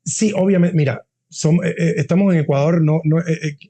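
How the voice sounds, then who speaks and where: A man's voice: fairly high pitch, fairly quick, warm tone. A middle-aged man, a university dean, talking earnestly in a casual interview and often restarting his phrases.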